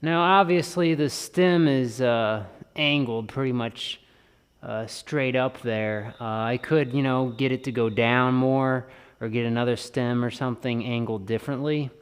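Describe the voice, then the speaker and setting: A man's voice: medium pitch, unhurried, smooth. A man giving a casual hands-on tutorial, thinking aloud informally as he works. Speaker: bike vlogger and host. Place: indoor garage or shed workshop with plywood walls. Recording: reverberant workshop room sound.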